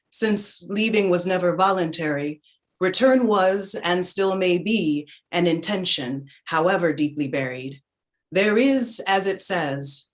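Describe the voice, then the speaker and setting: A reflective narrator in a literary stage drama played by a woman, remembering childhood. Her voice is a little low. She reads in a measured, deliberate way. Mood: solemn, meditative. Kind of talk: staged reading of a literary play.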